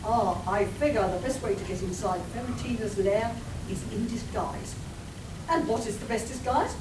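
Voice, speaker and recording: A woman's voice: mid-range voice, normal speed, close to an everyday voice. A woman playing a confident, exasperated young inventor and leader in a comic radio play, dry and decisive. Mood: confident, brisk. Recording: roomy, distant, noisy live stage recording.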